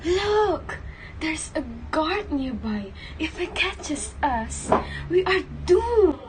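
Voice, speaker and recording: A young woman playing a bossy, cautious teenage girl thief in reader's theater, hushed and scolding, often whispering. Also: sits high, very animated, very slow, background noise, exaggerated voice. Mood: alarmed. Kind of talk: scripted reader's theater acting. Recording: music bed under the voice.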